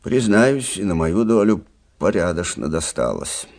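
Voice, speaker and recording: A man's voice: low voice, big pitch swings, unhurried, darkish tone, heavily acted. An actor playing an older army captain who recounts his memories conversationally in a Russian radio drama. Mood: reminiscing. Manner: conversational, reminiscing storytelling.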